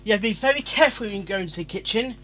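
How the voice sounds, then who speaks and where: High-pitched animated man reacting. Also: rapid-fire, soft background music. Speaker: reaction host. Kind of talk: excited casual commentary over a fan fiction reading.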